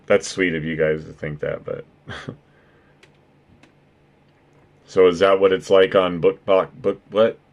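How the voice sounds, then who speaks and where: A deep-voiced man hosting a laid-back live stream, talking straight to his chat. He jokes around, swears freely and breaks into laughter often. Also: steady tone, moderate pace. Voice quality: naturally deep voice. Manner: joking and profane, laughing often. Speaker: streamer and podcast host.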